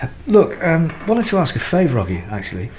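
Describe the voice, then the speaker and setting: Hesitant, polite husband, echoey room. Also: male voice, fairly high pitch, big pitch swings, a little fast, a little mellow. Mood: flustered, evasive. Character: awkward, evasive, polite husband. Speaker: actor in radio drama. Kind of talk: naturalistic radio drama acting. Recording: roomy echo with faint noise.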